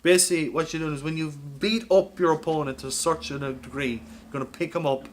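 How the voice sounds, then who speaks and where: A man's voice: a little high, fairly quick, slight background noise. A man hosting a podcast, casually and insistently explaining a comedic scheme, with some swearing. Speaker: podcast host.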